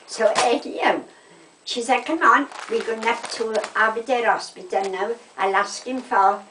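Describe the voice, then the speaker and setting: An elderly woman from the South Wales Valleys chats away in a strong storytelling flow, retelling who said what with emphasis. Her pitch is ordinary for a woman. She sounds set back from the microphone in an echoey room. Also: a little hiss or hum. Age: 80s. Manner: chatty, emphatic, repeats reported conversations with "I said". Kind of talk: casual chat, anecdotal storytelling. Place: indoors at a home. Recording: roomy, distant home recording.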